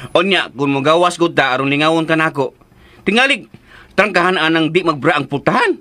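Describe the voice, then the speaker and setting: Excitable, high-pitched, sharp-voiced father. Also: brisk, a little hiss or hum. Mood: teasing, exasperated. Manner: exclamatory, scolding and coaxing. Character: excitable, protective, teasing father of a simple daughter. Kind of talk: radio drama acting.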